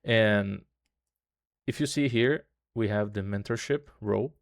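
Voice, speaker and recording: A man's voice: fairly low pitch, a little slow, creaky, dry recording, studio quality. A man whose first language is Portuguese, hosting his trading channel and walking viewers through a screen share in casual English, tagging almost every phrase with "okay" or "right". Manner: loose repetitive tutorial talk, constant "okay" and "right" tags.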